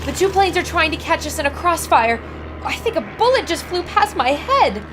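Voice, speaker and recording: A woman's voice: very high voice, very animated, normal speed, heavy background noise. A woman playing an intrepid, observant newspaper reporter in a dramatic 1930s-style radio adventure. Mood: alarmed, urgent. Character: intrepid female newspaper reporter aboard a plane, brave and observant.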